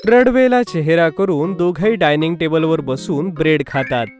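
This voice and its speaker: A man's voice: on the high side, moderate pace, faint music behind. A man narrating a scripted story for children as its storyteller.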